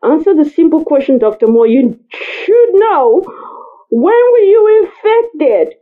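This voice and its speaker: A woman's voice: high voice, sing-song, unhurried, voice pushed up. A woman voicing a male doctor who interrogates a colleague with pressing questions, heard over a tinny phone-like line. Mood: cold interrogation.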